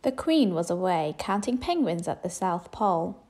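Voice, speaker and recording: A woman's voice: on the low side, sing-song, moderate pace, a little mellow. A woman reading a children's storybook aloud expressively, speaking directly to young listeners. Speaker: storybook reader. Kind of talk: children's read-aloud narration.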